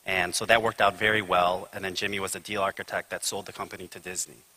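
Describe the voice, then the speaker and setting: A man recounts an example on a panel in a very low voice. His tone is bright and forceful, and he unfolds the story with loose fillers. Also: flat delivery, low-quality recording. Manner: anecdotal, unhurried, with fillers. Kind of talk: conference panel discussion.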